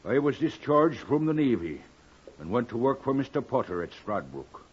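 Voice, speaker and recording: An actor playing a humble, rambling English smith, deferential and obliging, with some hesitation, in a 1950s radio drama. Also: male voice, mid-range voice, big pitch swings, normal speed, husky. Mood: eager, rambling. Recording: muffled old radio recording.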